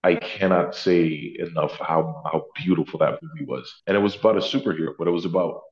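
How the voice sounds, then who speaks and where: A man with a deep baritone voice, talking earnestly as an actor guest in a casual interview, often pausing to correct himself. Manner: earnest, thoughtful, self-correcting. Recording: roomy, slightly dull sound.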